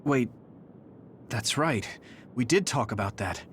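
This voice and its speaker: A man's voice: mid-range voice, expressive, takes it slowly, a little hiss or hum. A man playing an earnest, hesitant young soldier in a video game cutscene, full of confused questions. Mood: uncertain. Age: young adult.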